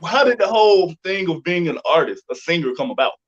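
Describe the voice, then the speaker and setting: A man's voice: a little high, lively intonation, brisk, muffled recording. An American man hosting a casual interview in an informal, slangy way. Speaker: interview host.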